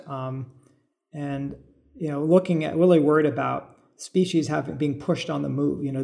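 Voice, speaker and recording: American guy, middle aged, kinda high voice with lots of vocal fry, explaining stuff thoughtfully in an interview. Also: a little mellow. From suburban California, United States. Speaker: podcast guest, biologist turned farmer. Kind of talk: conversational interview answers, explanatory.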